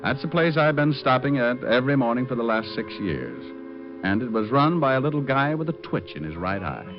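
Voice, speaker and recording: A man's voice: medium pitch, very animated, fairly quick, lightly acted. Wry male private detective, played by an actor, sardonic, varied intonation, old radio crime show with music behind, muffled. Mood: narrating, wry. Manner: laid-back, sardonic. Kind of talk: 1940s-50s radio detective drama, dialogue and narration.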